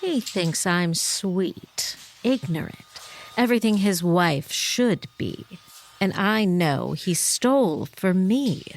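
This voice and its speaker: An actress playing a cold, scheming femme fatale wife, languid and mocking, in a noir-style audio drama. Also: female voice, fairly low pitch, lively intonation, takes it slowly. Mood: sly, contemptuous.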